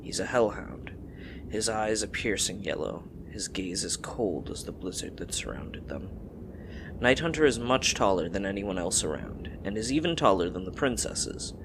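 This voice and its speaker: Male narrator, lowish pitch, scripted storytelling, clearly noisy recording. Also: expressive, normal speed.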